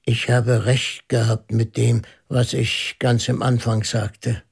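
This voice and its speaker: An actor playing a shrewd, thoughtful priest detective in a German radio drama, reasoning in a deliberate, ponderous way. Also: male voice, medium pitch, flat delivery, vocal fry, breathy edge, heavily acted. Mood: solemn, reflective.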